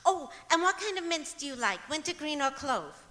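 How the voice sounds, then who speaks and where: A woman's voice: high voice, big pitch swings, moderate pace, sharp tone, heavily acted. A woman playing a sweet, scatterbrained housewife with guileless, chirpy delivery in an old-time radio comedy on stage. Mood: cheerfully innocent. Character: Gracie, sweet scatterbrained housewife, cheerfully illogical. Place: stage of a performing arts auditorium. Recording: echoey auditorium with long reverb.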